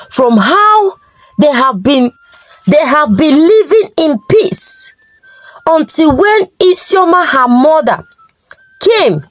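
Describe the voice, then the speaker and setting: A woman's voice: high-pitched, no echo, low-quality recording. A woman with a Nigerian accent narrating a scripted folktale as a storyteller.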